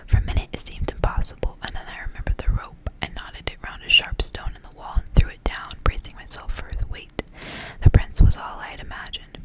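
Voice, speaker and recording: A woman whispering a literary fairy tale as an ASMR reading, in hushed narration. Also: brisk, poor audio. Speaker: ASMR reader.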